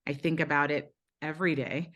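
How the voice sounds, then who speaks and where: Woman, low voice, Brazilian-born guest, candid and searching, many hesitations. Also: level tone, brisk, a bit bright. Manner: earnest, with frequent ums and self-corrections. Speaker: podcast guest. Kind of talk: candid personal storytelling.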